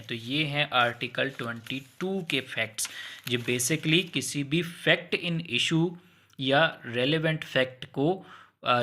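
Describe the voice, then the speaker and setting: A man's voice: medium pitch, bright, forceful tone. A man with a Pakistani accent giving an informal explanatory law lecture, going step by step with examples and mixing in English legal terms.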